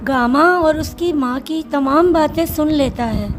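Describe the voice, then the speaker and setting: Woman reading a bedtime cartoon story, high voice, slow, music behind. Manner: measured, storytelling. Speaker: narrator. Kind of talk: scripted storybook narration. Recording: narration over background music.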